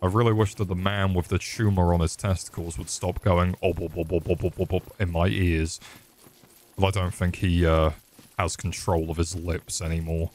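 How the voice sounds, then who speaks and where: A man's voice: deep voice, level tone, normal speed, darkish tone. A young man streaming a game, swearing and joking sarcastically as he plays. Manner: sweary, sarcastic, crude jokes. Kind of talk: swearing live gameplay commentary.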